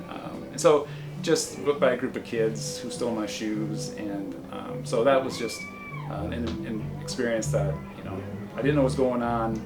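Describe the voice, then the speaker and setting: A man with an American accent speaks at a community meeting, feeling his way through a personal account. His delivery is halting and reflective, at a middling pitch. Music plays clearly behind him. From United States, Michigan.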